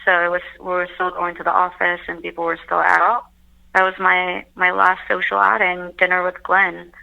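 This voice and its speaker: A woman from Turkey, chatting as a guest over a video call, upbeat and quick to laugh. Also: on the low side, completely flat delivery, gravelly. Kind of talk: casual chat over a call. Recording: tinny phone line.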